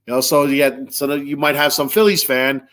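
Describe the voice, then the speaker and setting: A man with a stuffy nose hosts a live sports talk stream in a mid-pitched voice. He rambles loosely from thought to thought, bluntly and with plenty of casual swearing. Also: steady tone, brisk, fairly bright tone. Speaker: sports talk show host.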